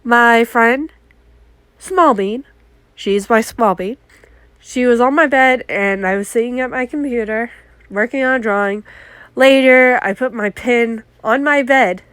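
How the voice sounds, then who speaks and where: A congested woman telling casual, animated stories about her art mishaps as a vlogger. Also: fairly high pitch, unhurried, clean tone, no echo. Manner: chatty, animated, acts out her own exclamations. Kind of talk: casual story time.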